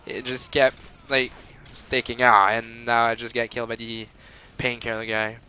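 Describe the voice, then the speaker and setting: Bright-voiced French Canadian gamer. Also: male voice, medium pitch, flat delivery, moderate pace, clear, resonant tone, poor audio. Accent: Quebec French. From Montreal, Canada. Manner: rambling and chatty, with frequent fillers and laughs. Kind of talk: gameplay commentary.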